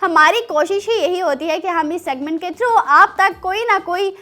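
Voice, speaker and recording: A woman's voice: extremely high pitch, moderate pace, bright, forceful tone. A young woman with a Pakistani accent, presenting and telling stories expressively as host of a children's show. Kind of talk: animated storytelling and presenting for children.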